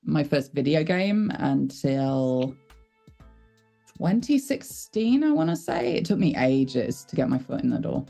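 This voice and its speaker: A woman with a low voice, a voice actor chatting casually in an interview, thoughtful and self-deprecating. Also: very animated, measured pace, creaky, mellow, faint music behind. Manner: thoughtful, self-deprecating, laughs while talking. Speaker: voice actor guest.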